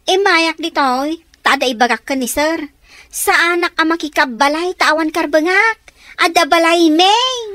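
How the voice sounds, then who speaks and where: A woman's voice: extremely high pitch, normal speed, bright, forceful tone. A woman playing a spoiled young student daughter, whiny and melodramatic, in an Ilocano radio drama. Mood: indignant.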